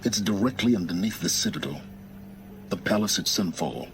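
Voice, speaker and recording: An actor playing a learned, secretive game character, speaking in a deliberate, articulate, wry manner. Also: male voice, sits low, expressive, a little hiss or hum. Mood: grave warning. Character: learned, secretive man, cool and calculating informant. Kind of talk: video game voice acting, measured dialogue.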